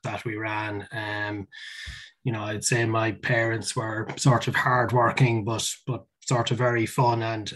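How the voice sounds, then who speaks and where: A man's voice: mid-range voice, a bit bright. A man from Ireland with an Irish accent, answering interview questions informally and reflectively, often repeating words as he thinks. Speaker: interview guest, company executive.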